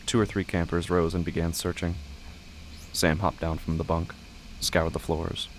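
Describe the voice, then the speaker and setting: A man narrating an audio drama in a measured, deliberate, literary way with a gravelly voice. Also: low-pitched, little pitch movement, a little hiss or hum.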